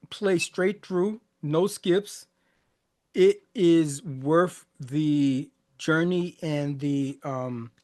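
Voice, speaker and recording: A man in his forties, born in Haiti and raised in the United States, talking casually and reflectively as a podcast host, laughing often. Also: a little high. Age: 40s. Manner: laughs often, loose and conversational, many restarts and fillers. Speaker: music reaction podcast host.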